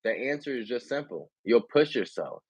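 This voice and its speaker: A man's voice: medium pitch, expressive, moderate pace, slightly rough, no echo, poor audio. A man hosting a podcast in casual chat, who also reads passages from a book aloud and calls his guest bro and dude. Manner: enthusiastic, says bro and dude often. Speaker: podcast host.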